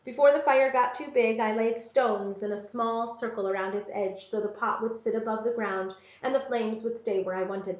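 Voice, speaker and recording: A woman reading a first-person story aloud as narrator, in a measured, expressive storybook manner. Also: fairly high pitch. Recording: roomy, slightly dull sound.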